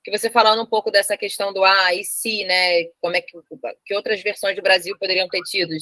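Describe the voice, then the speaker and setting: A woman's voice: medium pitch, flat delivery, quick pace, bright, forceful tone, no room sound. A woman hosting an informal interview, chatty and a little hesitant in her phrasing.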